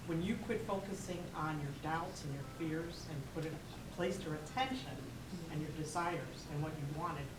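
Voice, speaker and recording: A woman in the audience making a measured, emphatic point. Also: low-pitched. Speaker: audience member. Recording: echoey room, distant mic, clear noise.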